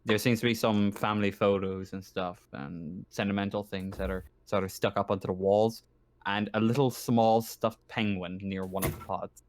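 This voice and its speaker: A man narrating an improvised tabletop roleplaying game as game master, describing things in a casual, halting way. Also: on the low side, steady tone, a little hiss or hum.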